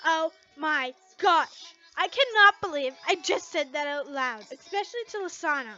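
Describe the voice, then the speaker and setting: A woman voicing a bashful, flustered teenage boy in a story, with halting, stammering speech. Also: sits high, lively intonation, bright, forceful tone, soft background music. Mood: embarrassed. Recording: muffled lo-fi home recording.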